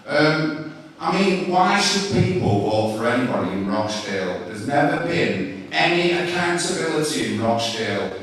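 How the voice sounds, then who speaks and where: A man's voice: a little high, very animated, normal speed, fairly bright tone. A man in the audience putting a blunt, accusatory challenge from the floor at a public meeting. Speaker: audience member asking a question. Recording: very echoey hall, distant microphone.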